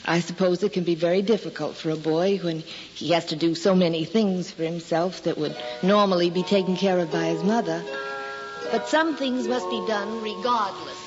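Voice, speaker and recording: An American actress playing an earnest, prim schoolteacher who gently admonishes a pupil in a 1940s radio drama. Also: female voice, fairly low pitch, moderate pace, music in the background, close to an everyday voice. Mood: sympathetic. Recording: dull, reverberant, noisy old radio recording.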